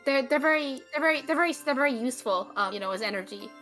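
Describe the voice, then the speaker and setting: A woman chatting in character in a tabletop game, halting and repeating her words. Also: high voice, lively intonation, fairly bright tone, faint music behind. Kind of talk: casual in-character role-play chat.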